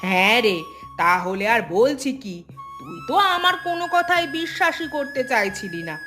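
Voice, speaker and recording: A woman's voice: fairly high pitch, very animated, moderate pace, pushed and bright. A woman voicing a scheming, vengeful village gossip in a cartoon, with an insistent, dramatic manner and music behind. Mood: insistent, exasperated. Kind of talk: Bengali cartoon voice acting.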